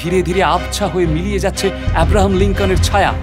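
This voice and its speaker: A man's voice: sits high, fairly even intonation, fast, over music, lightly acted. An actor playing an earnest sea adventurer who narrates his perilous voyage in a theatrical, suspenseful audio drama style. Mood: suspenseful, dramatic. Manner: theatrical, suspenseful, repeats words breathlessly in peril. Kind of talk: dramatised first-person story narration.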